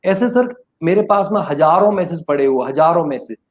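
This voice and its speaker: Impassioned male teacher, slightly high voice. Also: lively intonation, normal speed. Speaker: exam coaching teacher. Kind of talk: casual chat.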